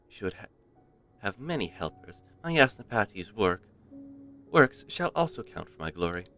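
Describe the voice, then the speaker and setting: A man's voice: medium pitch, sharp tone. A man voicing a haughty, self-important little creature from a game in a posh, formal, royal manner. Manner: pompous, slow, formal. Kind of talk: reading game dialogue in character.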